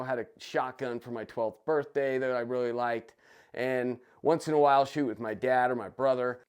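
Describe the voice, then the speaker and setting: A man hosting his own channel, talking casually about his story, his voice raspy and a bit nasal from a cold. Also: mid-range voice. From United States. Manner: enthusiastic, chatty. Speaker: gun channel host. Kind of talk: casual personal vlog. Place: basement room.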